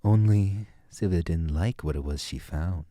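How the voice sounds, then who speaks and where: A coy, theatrical fairy acted by a man for an audio drama. The voice is dark and soft-edged, recorded close and dry, with arch, genteel phrasing and an air of smug nonchalance. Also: low voice, a little slow, no room sound. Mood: arch, mock-delicate. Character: coy, theatrical male fairy murder witness, smugly nonchalant.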